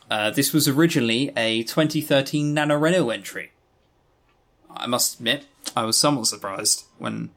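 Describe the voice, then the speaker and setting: A man's voice: mid-range voice, fairly bright tone. A man with a mid-range voice co-hosts a casual review podcast. He speaks bluntly, with a dry, joking edge and mild exasperation. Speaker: podcast co-host. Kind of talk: casual review chat about visual novels.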